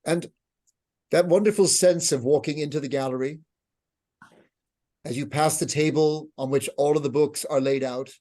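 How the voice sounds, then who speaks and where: A man with an American accent, hosting an awards ceremony with a measured, earnest, grave speech. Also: fairly high pitch, dark tone. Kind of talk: solemn formal speech. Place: online video event.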